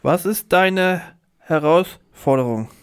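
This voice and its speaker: A man co-hosts a podcast in a notably high voice with a smooth, clear tone. His delivery is very slow, with simple, deliberate phrasing as he introduces and asks questions. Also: expressive. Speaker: podcast co-host. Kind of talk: podcast hosting, prepared questions.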